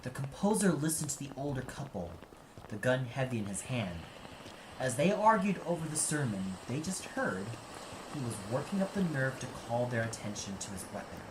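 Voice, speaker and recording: A man's voice: medium pitch, very animated, moderate pace, background noise. A man giving dramatic scripted narration as the reader of an audio play.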